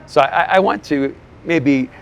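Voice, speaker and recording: A man's voice: a little high, a little slow, creaky, clean tone. A man hosting an interview podcast outdoors on a city street, talking in an earnest, effusive way. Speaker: podcast host.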